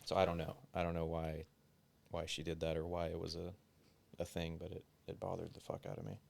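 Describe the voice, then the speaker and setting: A man's voice: deep voice, completely flat delivery, fairly quick, vocal fry. A young man chatting casually as a podcast guest, rambling and profane, with lots of "like" fillers.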